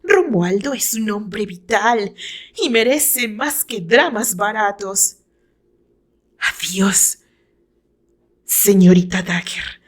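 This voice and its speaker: A woman's voice: mid-range voice, animated, slow pace, pauses often. A woman voicing a haughty, self-assured female rival in an audio novel, scornful and defiant, with emphatic theatrical delivery.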